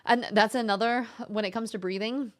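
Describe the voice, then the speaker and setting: A young woman hosting a casual live-stream chat, speaking in a gentle, encouraging and thoughtful way. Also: on the high side, fairly even intonation, fairly quick. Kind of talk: casual live-stream chat, reading viewer messages aloud.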